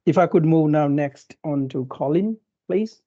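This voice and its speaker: A man's voice: on the high side, a little slow, warm tone, lo-fi sound. A man moderating a webinar panel, polite and hesitant with many fillers.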